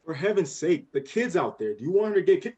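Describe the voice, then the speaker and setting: A man's voice: high voice, big pitch swings, fast talker, mellow, breathy edge, highs cut off, exaggerated voice. A man playing an alarmed, exasperated husband and father in a radio horror drama, exclaiming and demanding.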